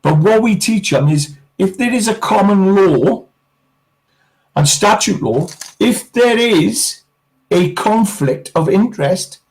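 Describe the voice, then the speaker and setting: A man with a slightly high voice patiently laying out rules as a talk show guest. He is steady and explanatory, even when the talk gets heated. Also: slow pace, poor audio. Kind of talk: explaining in casual chat.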